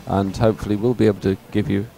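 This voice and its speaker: A man giving live sports commentary in a chatty, hesitant, partly ad-libbed way with frequent pauses. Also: fairly low pitch, flat delivery, gravelly. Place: live broadcast at an outdoor regatta venue.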